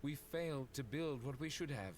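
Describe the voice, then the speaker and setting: A man's voice: mid-range voice, animated, normal speed, close to an everyday voice. A man voicing a grandiose old servant of a desert king in an anime game, formal and lofty. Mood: lofty, regretful. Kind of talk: anime game dialogue acting. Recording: clear background noise.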